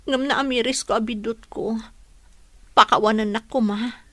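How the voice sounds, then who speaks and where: An actress playing a repentant woman in a radio drama, speaking softly and pleading for forgiveness. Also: fairly high pitch, steady tone, normal speed, dry recording. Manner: soft, pleading.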